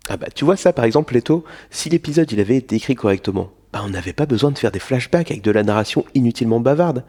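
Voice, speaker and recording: A man's voice: medium pitch, animated, fast, dark tone, husky. Chatty man narrating with asides. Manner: informal, digressive. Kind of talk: chatty, fourth-wall-breaking narration.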